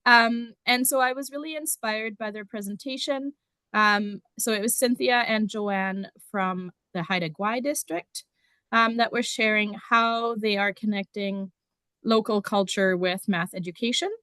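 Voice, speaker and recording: German-born teacher explaining, dry sound. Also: female voice, mid-range voice, unhurried, pushed and bright, clear, resonant tone, no echo. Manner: casual and explanatory, with frequent ums. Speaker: district numeracy teacher. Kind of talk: conference presentation.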